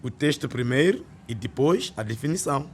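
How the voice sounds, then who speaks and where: An actor playing a weary, authoritarian male schoolteacher in a radio drama, speaking in an expressive, schoolmasterly way. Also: mid-range voice, moderate pace, a little hiss or hum, close to an everyday voice. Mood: bossy. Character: weary, authoritarian male schoolteacher, frustrated but open to change.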